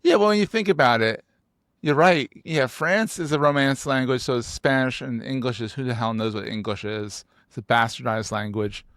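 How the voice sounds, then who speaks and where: A man with a Philadelphia accent hosting a podcast interview, talking in an animated, joking way with emphatic repeats. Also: medium pitch, normal speed, no room sound, clean studio sound. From United States. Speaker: podcast host. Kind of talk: casual interview chat.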